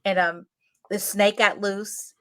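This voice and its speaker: A woman's voice: mid-range voice, little pitch movement, slow, bright, forceful tone, smooth. A young woman co-hosting a podcast, telling stories in a chatty, laughing way. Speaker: podcast co-host. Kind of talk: casual chat.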